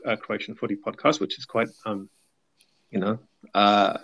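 Male podcast guest, low gravelly voice, rambling casual talk, muffled lo-fi audio. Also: level tone, moderate pace, a bit bright. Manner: rambling, lots of fillers and hedges. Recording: dull lo-fi sound.

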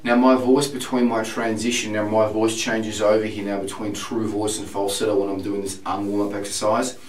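Male voice coach, congested and a bit hoarse, lowish voice, frank diary delivery, roomy room sound. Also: level tone. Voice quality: slightly hoarse, strained. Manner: candid, detailed, unhurried self-report. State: congested, recovering from laryngitis. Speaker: voice teacher. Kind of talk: video diary. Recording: roomy, distant, piano in background.

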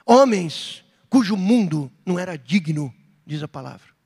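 A middle-aged Brazilian man preaching a sermon as pastor, with fervent outbursts and softer reflective stretches. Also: high-pitched, expressive, a little slow, husky. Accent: Brazilian Portuguese. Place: church hall. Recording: long hall reverb.

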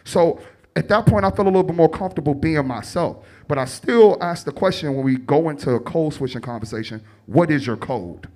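A man's voice: fairly high pitch, a little fast, mellow. A young man with a Chicago accent speaking on a panel, telling stories animatedly, acting out quotes and laughing often. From Chicago, United States. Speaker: tech panelist. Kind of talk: panel discussion.

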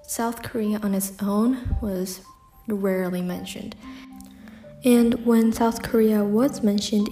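Young american woman podcasting solo, mellow voice, lots of likes and ums, echoey room with faint music. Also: a little high. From United States. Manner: reflective, frequent "like" and "um" fillers. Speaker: podcast host. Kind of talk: personal solo podcast monologue.

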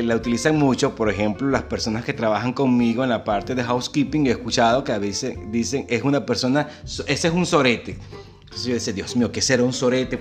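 Chatty Venezuelan man. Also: medium pitch. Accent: Venezuelan Spanish. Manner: chatty, laughs often, storytelling. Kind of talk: casual chat.

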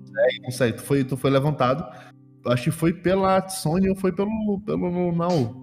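A Brazilian man improvising tabletop game narration as game master, halting and often repeating his phrases. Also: on the high side, darkish tone. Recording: long hall reverb, music behind.

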